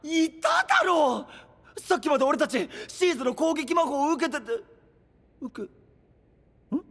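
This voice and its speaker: High, animated, boyish young hero. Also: male voice, normal speed, exaggerated voice. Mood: panicked. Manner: boyish, casual, hot-blooded. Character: energetic, reckless young male hero, good-natured. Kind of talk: Japanese anime-style voice drama acting.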